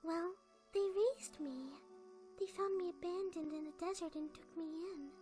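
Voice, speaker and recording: A shy, gentle cartoon pony outcast, played by a woman, hesitant and apologetic. The voice is tiny and extremely high, with music behind it. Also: a little slow. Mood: pleading. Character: timid, gentle, polite nameless pony outcast. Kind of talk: fan-made cartoon dub.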